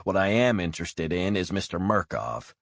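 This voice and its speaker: A man voicing a hard male interrogator who talks slowly and threateningly. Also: deep voice, expressive, gravelly, no room sound. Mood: menacing. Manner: cold, slow and threatening.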